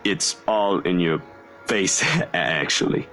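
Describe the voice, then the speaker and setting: An actor voicing an easygoing, loyal friend with a relaxed, drawling manner. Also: male voice, sits low, takes it slowly, exaggerated voice. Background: faint game music.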